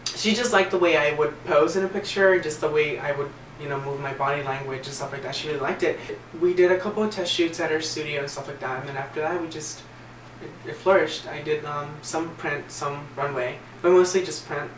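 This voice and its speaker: A young man from the US South with an American accent, talking informally and a little haltingly about himself in a candid documentary interview. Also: fairly high pitch, fairly even intonation, a bit bright. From US South, Virginia and North Carolina. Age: young adult. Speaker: interviewee, model. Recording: distant roomy mic, faint noise.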